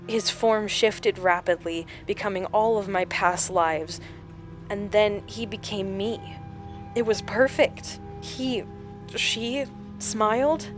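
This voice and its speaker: An actress playing a haunted, slow-spoken shaken woman. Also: mid-range voice, over music, natural voice. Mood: dread, hushed intensity. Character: shaken woman recounting a supernatural encounter with a shapeshifter. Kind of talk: audio drama acting.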